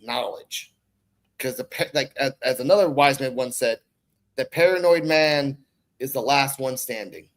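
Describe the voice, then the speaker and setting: A man's voice: mid-range voice, unhurried. A man from the Bronx talking casually as a podcast guest, rambling and slangy, with swearing mixed in. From the Bronx, New York, United States. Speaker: guest, online content creator and podcaster. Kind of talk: casual conversational podcast talk.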